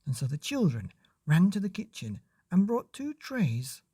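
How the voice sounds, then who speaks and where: Slow, soft-toned male storybook narrator. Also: sits high, breathy edge, no echo, clean studio sound. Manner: unhurried, expressive reading for children. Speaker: bedtime story podcast narrator.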